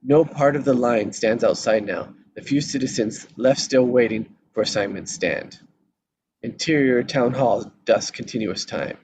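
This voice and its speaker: A man's voice: medium pitch, a little slow, a little mellow, poor audio. A man reading stage directions as scripted narration at a live table read. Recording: faint background noise.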